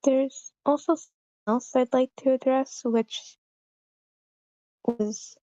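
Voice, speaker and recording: A woman talking in an informal group discussion, halting as she searches for her words. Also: high voice, steady tone, warm tone, dry recording, dull audio. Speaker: participant giving script feedback.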